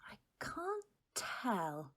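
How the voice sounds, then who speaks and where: A woman playing a patient mother in an audio drama, gently and hesitantly explaining things to her child. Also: mid-range voice, huge pitch swings, extremely slow, exaggerated voice. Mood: gentle.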